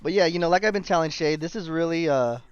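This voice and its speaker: A man hosting a casual podcast interview on a stream, relaxed and friendly, who clears his throat now and then. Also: a little high, fast, no room sound, muffled recording. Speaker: podcast host.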